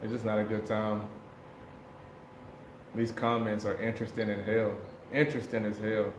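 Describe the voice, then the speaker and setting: A man's voice: on the low side, level tone, normal speed. American guy, livestream host, loose slangy chat, echoey room, faint hiss. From United States. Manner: slangy, laid-back, laughs often.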